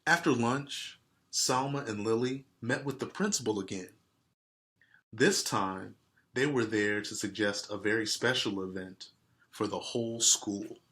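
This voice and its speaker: A man reading a storybook aloud and speaking directly to his listeners in a deliberate way. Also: fairly low pitch, fairly bright tone. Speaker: read-aloud host. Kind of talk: storybook read-aloud with casual asides to listeners.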